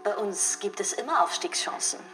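A woman's voice: mid-range voice, animated, normal speed, close to an everyday voice. A woman playing a gushing, scheming villain in a German children's radio drama, smug and commanding, dropping affected English catchwords. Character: gushing, scheming female villain wooing an informant. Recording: echoing room, music behind.

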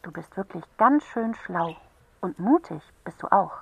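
A woman playing a kind, patient helpline counsellor for children in a radio play, gently explaining and encouraging. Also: lowish voice, animated, moderate pace, gravelly, slightly rough, full character voice, low-quality recording. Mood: warm encouragement.

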